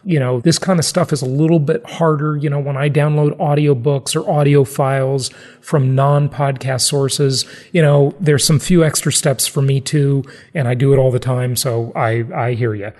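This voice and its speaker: A man with a gravelly, husky voice, talking conversationally as the host of an interview podcast. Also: medium pitch, level tone, moderate pace, a little mellow.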